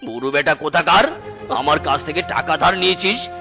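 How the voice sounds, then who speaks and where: A man's voice: a little high, fairly quick, pushed and bright, soft background music. A man voicing a cruel, domineering tiger headman, a sneering villain in a melodramatic cartoon. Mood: menacing, angry.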